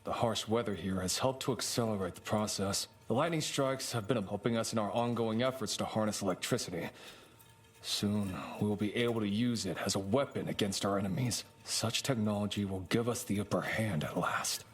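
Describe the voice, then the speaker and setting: An actor playing a solemn, resolute research leader, measured and exhorting, in a video game log. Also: male voice, fairly low pitch, close to an everyday voice. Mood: solemn, hopeful.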